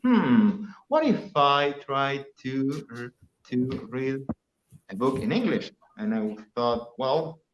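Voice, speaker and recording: A man's voice: mid-range voice, big pitch swings, slow, roomy sound, slight background noise, rough recording. A man with an Argentine Spanish accent, a native Spanish speaker, chatting casually as a guest on a livestream and telling stories loosely with some swearing.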